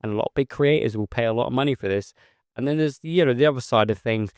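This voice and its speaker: Man, monotone settling voice, earnest rambling chat, close dry recording. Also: mid-range voice, quick pace, dry recording. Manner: rambling, earnest, with filler phrases and restarts. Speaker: vlogger, heavy goods mobile mechanic. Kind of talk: casual talk to camera.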